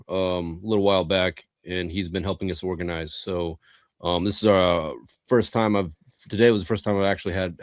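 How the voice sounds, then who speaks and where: A man moderating a community council, measured and formal, with frequent ums. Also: low voice, no room sound. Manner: measured, formal, says um often. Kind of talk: moderating an online forum.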